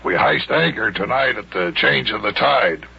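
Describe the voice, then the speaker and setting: A hearty, scornful old sea captain with a very rough, gravelly voice. He drags out his salty sailor talk with swooping pitch, on a muffled old radio serial. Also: animated, normal speed, full character voice. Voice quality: gravelly, raspy.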